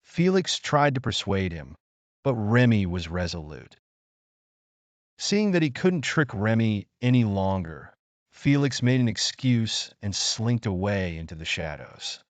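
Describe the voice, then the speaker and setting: A man narrating a scripted children's story in an expressive, measured storytelling manner. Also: lowish voice, no echo.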